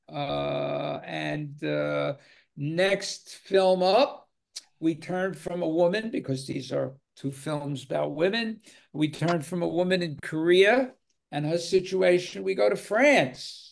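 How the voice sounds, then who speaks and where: A man's voice: high voice, gravelly. An older man moderating a film discussion on a video call, talking with many fillers. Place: home room with virtual cinema backdrop.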